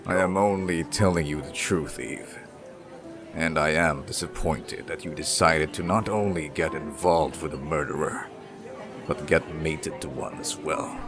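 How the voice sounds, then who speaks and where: A man's voice: a bass voice, over music. A man acting in an audio roleplay as a stern, unyielding middle-aged father who hunts monsters, speaking in a righteous, disapproving manner. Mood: disappointed. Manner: righteous, slow and measured, disapproving.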